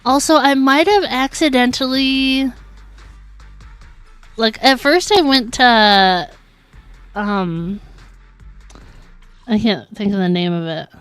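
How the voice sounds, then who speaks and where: A woman's voice: a little high, slow, pauses often, fairly bright tone, smooth, dry recording, faint music behind. A woman livestreaming a game, talking casually in a chatty, giggly, informal way. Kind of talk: casual live gaming commentary.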